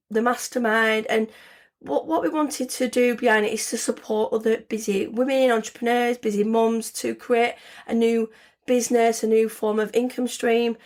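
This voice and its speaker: A hoarse woman, hosting her own podcast in a casual, rambling way with many restarts. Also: fairly high pitch. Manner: chatty, rambling, frequent restarts. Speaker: podcast host. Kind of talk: casual solo podcast talk.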